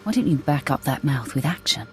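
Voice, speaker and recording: A woman's voice: extremely low pitch, lively intonation, brisk, a little hiss or hum. A woman voicing a deadly, confident Sith warrior in a video game, curt and sardonic, with a threatening manner. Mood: cocky, threatening.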